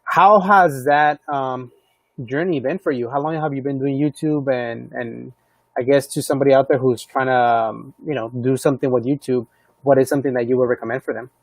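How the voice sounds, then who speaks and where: A man's voice: mid-range voice, steady tone, a little fast, dry recording, poor audio. Encouraging male live-stream interviewer. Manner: encouraging, inquisitive, frequent affirmations. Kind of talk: casual interview questions.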